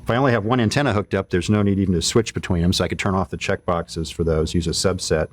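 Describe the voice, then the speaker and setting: A man's voice: deep voice, fairly even intonation, quick pace. A man giving an informal hands-on product demonstration, explaining things step by step and thinking aloud as he goes. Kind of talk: casual hands-on product demo.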